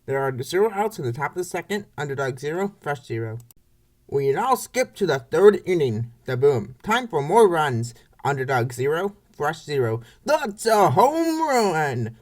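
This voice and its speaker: Baseball announcer, scripted play-by-play, clipped phrases, very animated pitch, mid-range voice. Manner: short clipped announcer phrases, repeated score calls. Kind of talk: scripted sports commentary for a comic dub.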